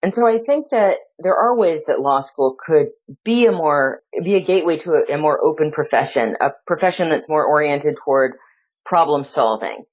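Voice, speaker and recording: A woman's voice: low voice, lively intonation, normal speed. A woman law professor answering interview questions in a thoughtful, reflective way, filling her talk with hedges and restarts. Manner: thoughtful, full of "you know" fillers and restarts. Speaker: law professor and researcher, guest. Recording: dull lo-fi audio.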